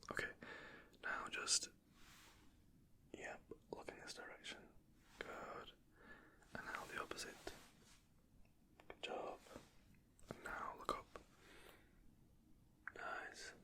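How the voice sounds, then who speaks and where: Whispering ASMR examiner, gently reassuring. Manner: gentle, reassuring instructions with frequent praise. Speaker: ASMR creator role-playing a medical examiner. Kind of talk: whispered ASMR medical exam roleplay.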